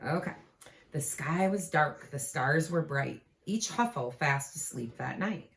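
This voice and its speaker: A woman reading a children's storybook aloud with expressive delivery, pausing to prompt young listeners. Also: deep voice. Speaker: teacher. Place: room at home. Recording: echoey home room.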